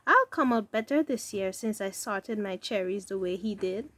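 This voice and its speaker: Woman reading as an earnest, animated young boy. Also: medium pitch, normal speed, dry recording. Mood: questioning, defensive. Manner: expressive, simple storybook delivery. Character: young boy, earnest, easily surprised cherry seller.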